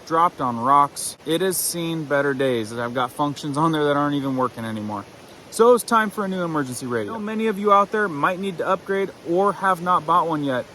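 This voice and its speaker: A man's voice: fairly high pitch, pushed and bright, slight background noise, low-quality recording. A man giving a casual, enthusiastic product review, conversational as he runs through features.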